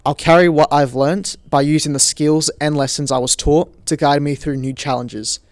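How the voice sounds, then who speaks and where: Composed young student, dry sound. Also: male voice, a little high, steady tone, normal speed, no echo. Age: late teens. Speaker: student leaving high school. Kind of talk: reading a prepared reflection.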